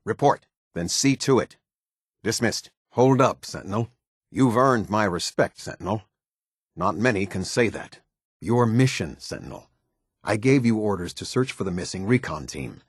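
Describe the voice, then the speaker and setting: Low, stern military captain. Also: male voice, expressive, normal speed, breathy edge, no echo, studio quality. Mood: stern, commanding, then approving. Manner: clipped, authoritative, formal. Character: stern, disciplined male military captain giving orders. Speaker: video game voice actor. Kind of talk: scripted video game dialogue.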